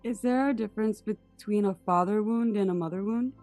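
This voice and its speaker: A woman's voice: medium pitch, fairly quick, mellow, soft background music. A woman hosting an interview podcast, reading introductions and asking questions. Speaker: podcast host.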